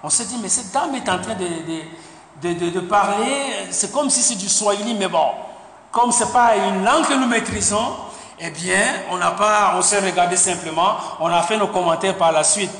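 A high-pitched man preaching in a church sanctuary, halting, repeating words and punctuating his points with amen. His voice rings with a long reverb through the hall, over a faint hiss. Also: a bit bright. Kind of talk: sermon. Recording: echoey hall with faint noise.

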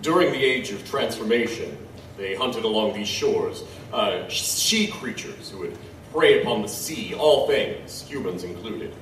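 A knowledgeable, wry tribesman, played by a man in an audio drama. His voice sits fairly low, with a measured, musing storyteller's delivery. The recording is echoey and slightly distant. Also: expressive, fairly bright tone. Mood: grave, explaining. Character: wry, knowledgeable Chinook tribesman, old friend and lore-teller. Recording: roomy, distant, faint background noise.

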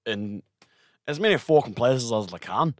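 A man's voice: mid-range voice, expressive, moderate pace, no echo, clean studio sound. A young man co-hosting a podcast, joking, swearing freely and laughing between lines. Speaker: podcast co-host. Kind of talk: casual banter.

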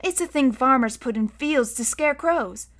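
A woman's voice: high voice, normal speed, a bit bright, voice pushed up. A woman voicing a small, outspoken, stubborn girl in a storybook, childlike and emphatic. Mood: explaining.

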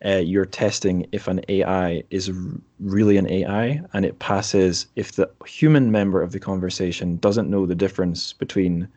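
A man's voice: sits low, steady tone, moderate pace, few pauses, dark tone, dull audio. A man hosting a podcast, chatting casually and informally through an interview about books, with asides as he explains. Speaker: podcast host.

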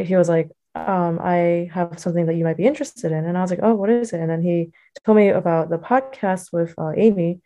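A woman's voice: on the low side, gravelly, warm tone. A young adult woman with an American accent, giving casual, reflective interview answers in a hesitant, loosely phrased way full of filler words. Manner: hesitant, loosely phrased, heavy use of like and you know. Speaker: interview guest, college student.

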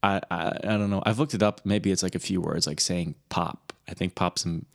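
A man's voice: deep voice, fairly even intonation, brisk, vocal fry. A man in his early twenties with a Midwestern American accent, chatting and rambling through stories as a podcast co-host. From Michigan, US Midwest. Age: early 20s. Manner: rambling, informal storytelling. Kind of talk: casual conversation.

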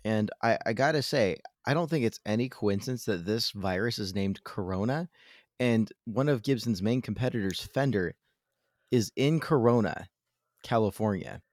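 A man's voice: fairly low pitch, normal speed, a little mellow, dry recording, clean studio sound. A man co-hosting a podcast in casual chat, playful and stammering through mock-conspiratorial theories. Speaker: podcast co-host.

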